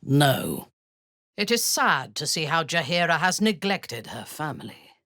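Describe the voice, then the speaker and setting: An actress playing a haughty, ruthless female dark elf warlord in a video game, imperious and formal, with a breathy, husky voice. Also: deep voice, very animated, no room sound, studio quality, exaggerated voice. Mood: grave, commanding. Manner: imperious, formal, deliberate, coldly menacing. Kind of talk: video game character dialogue.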